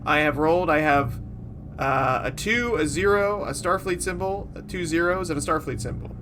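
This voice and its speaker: A man's voice: fairly high pitch, moderate pace, background noise. A man playing a tabletop role-playing game, chatting casually in an inquisitive, agreeable way.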